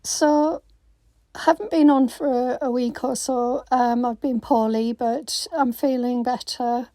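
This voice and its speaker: Craft vlogger woman, croaky, mellow and slow, lots of ums, dry voiceover. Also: a little high, fairly even intonation, no echo. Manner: hesitant, many ums and restarts. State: croaky voice after illness. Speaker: craft channel host. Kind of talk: casual voiceover for a craft video. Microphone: phone.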